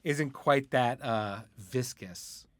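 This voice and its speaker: A man's voice: medium pitch, slow pace, dry recording. A man hosting a casual podcast interview, informal and joking in the way he talks.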